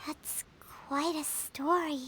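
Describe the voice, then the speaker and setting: Tiny game fairy sidekick: squeaky, childlike, chirpy, extremely high, unhurried delivery. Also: female voice, fairly even intonation, fairly bright tone, a little hiss or hum. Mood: puzzled. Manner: chirpy, talks in simple exclamations. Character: tiny cheerful childlike floating fairy companion, female. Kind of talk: animated video game voice acting.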